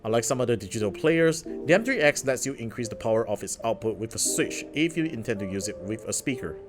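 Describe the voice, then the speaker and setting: A man's voice: mid-range voice, animated, quick pace. A man giving an upbeat, casual gadget review, pointing out features as he goes. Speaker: tech reviewer. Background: background music.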